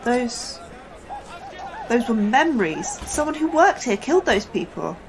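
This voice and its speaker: A woman's voice: on the high side, big pitch swings, measured pace, a crowd in the background, background noise. An actress playing an anxious, apologetic woman scientist in a sci-fi audio drama, hesitant and earnest. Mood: shaken, frightened. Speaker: actor in a sci-fi horror fiction podcast.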